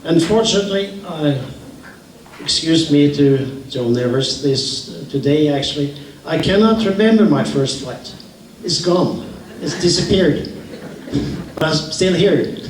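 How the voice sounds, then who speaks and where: An older retired pilot giving a joking reunion speech. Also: male voice, fairly high pitch, expressive, measured pace. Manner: joking, laughing. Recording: echoey hall from far off, noisy.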